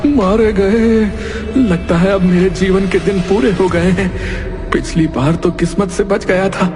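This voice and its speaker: A man's voice: high voice, fairly even intonation, a little slow. A man playing a timid, scheming fake seer in a cartoon, with a hesitant, humble manner. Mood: despairing. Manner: obsequious, hesitant, self-pitying. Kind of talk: Hindi cartoon voice acting. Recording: long hall reverb, loud music behind.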